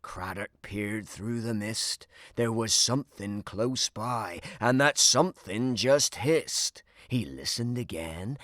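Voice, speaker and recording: A man reading a rhyming children's story aloud in an animated, dramatic way. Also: fairly low pitch, no echo, studio quality. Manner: theatrical, dramatic delivery. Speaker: storybook narrator.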